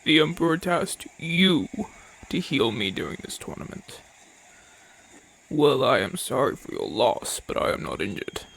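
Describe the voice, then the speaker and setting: Man playing a proud, slow-spoken gladiator champion. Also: medium pitch, sing-song, vocal fry, fairly bright tone, full character voice, poor audio. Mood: haughty, guarded. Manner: imperious, formal, dismissive. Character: proud, haughty Roman gladiator champion, gruff but softening. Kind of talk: ASMR audio roleplay acting.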